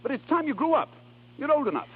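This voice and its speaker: Exasperated, flustered husband, 1930s radio drama, very animated, thin noisy old recording. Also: male voice, very high-pitched, fast. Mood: firm, exasperated. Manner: flustered, hesitant, then emphatic. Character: harried, exasperated husband of an opera star. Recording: scratchy, narrow 1930s radio recording with hum.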